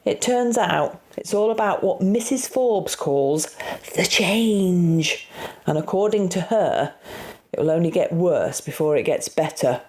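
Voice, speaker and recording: A woman's voice: lowish voice, animated, unhurried, darkish tone. A woman playing an indignant, weary middle-aged mother in an amateur radio play. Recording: home recording over video call.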